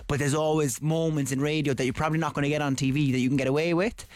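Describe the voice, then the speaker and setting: A man's voice: on the high side, fairly even intonation, rapid-fire, a little mellow, no room sound. A man with a Cork Irish accent, a radio newsreader chatting as a guest in a loose, rambling way with many fillers and restarts. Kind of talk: casual radio chat.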